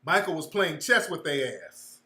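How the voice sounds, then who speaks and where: Bright, emphatic, profane male storyteller. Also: a little high, expressive, moderate pace, roomy sound. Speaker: commentator. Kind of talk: casual commentary.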